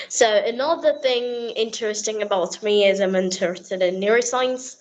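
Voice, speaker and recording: A woman's voice: medium pitch, slight background noise, rough recording. A young teenage girl from Bangladesh, a Bengali speaker, chatting eagerly and wordily in English. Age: young teen, class seven student. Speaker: English learner and YouTuber. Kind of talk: casual video chat.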